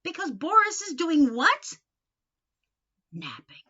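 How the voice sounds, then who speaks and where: A woman reading a picture book aloud to young children in an expressive, sing-song way, coaxing them with questions. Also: very high voice, slow pace, muffled recording.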